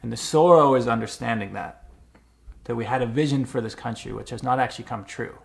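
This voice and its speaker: Gravelly-voiced man musing thoughtfully. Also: mid-range voice. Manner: measured, thoughtful talk with filler uhs and restarts. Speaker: vlogger. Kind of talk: reflective personal vlog. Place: Montreal.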